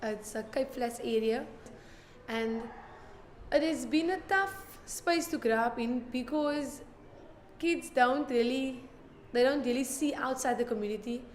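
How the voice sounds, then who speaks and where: A woman's voice: sits high, a little slow, reverberant, a little hiss or hum. A young woman reflecting candidly on her life in a documentary interview. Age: young adult. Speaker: program participant.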